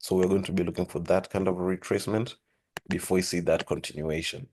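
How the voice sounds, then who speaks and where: A man hosting a trading lesson, talking steadily through charts with a hoarse voice and frequent throat-clearing. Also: deep voice, fast talker, a little hiss or hum. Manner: steady explanatory, repeats "with that being said". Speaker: forex trading channel host. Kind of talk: screen-share trading lesson.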